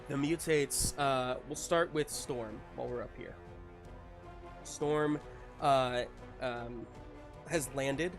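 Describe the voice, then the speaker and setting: A man narrating a tabletop superhero game as game master in a casual, halting way, often searching for his words. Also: medium pitch.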